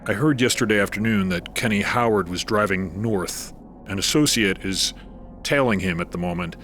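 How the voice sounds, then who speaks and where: A man playing a measured, guarded scientist. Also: fairly low pitch, a bit bright, a little hiss or hum, lightly acted. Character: cool, guarded male scientist studying the marsh. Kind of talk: audio drama acting.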